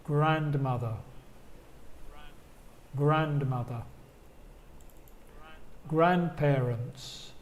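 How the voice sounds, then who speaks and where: British male English teacher speaking in his second language, slightly high voice, very unhurried, says each word twice. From Britain. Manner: slow, patient, repeats each word twice. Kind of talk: online language lesson.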